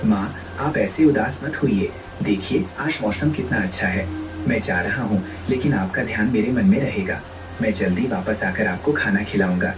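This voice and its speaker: A man's voice: on the low side, normal speed, recorded from a distance, over music, close to an everyday voice, rough recording. A man playing a devoted, earnest village son of eighteen in a dramatized moral story, pleading tenderly. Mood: tender, hopeful.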